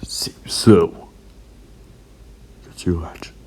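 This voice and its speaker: A man voicing an arrogant, cruel alien warrior who sneers and taunts in a husky voice, in amateur anime fan dub style. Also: a little mellow, in a whisper. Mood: menacing. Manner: sneering, taunting, slow and deliberate.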